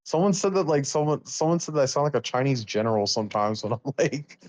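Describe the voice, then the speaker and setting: A man's voice: mid-range voice, expressive, quick pace. A man chatting casually in a rambling, slangy way over a dull, lo-fi voice call. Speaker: guest being personality-typed. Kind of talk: casual chat.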